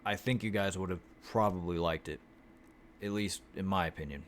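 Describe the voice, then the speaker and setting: A man telling his vlog viewers about an acting class, talking in a flat monotone, swearing freely and restarting his sentences often. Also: low voice, normal speed, slight background noise. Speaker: acting student vlogger. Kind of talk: casual chat. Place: Houston, Texas.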